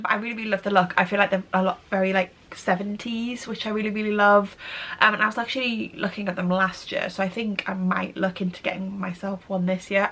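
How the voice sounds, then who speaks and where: A woman's voice: medium pitch, fairly bright tone. Stuffy-voiced woman with a cold. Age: 30s. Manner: chatty, repeats "really, really". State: has a cold, slightly nasal and stuffy. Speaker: fashion vlogger. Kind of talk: casual chatty vlog talk.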